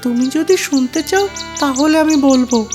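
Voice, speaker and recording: A performer voicing a clever little mother bird in a children's cartoon, polite, wheedling and persuasive, over loud music. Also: high-pitched, a little slow, pitched above the performer's own voice. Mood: polite, coaxing. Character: clever little mother tailorbird, sweetly coaxing. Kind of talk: children's cartoon story character acting.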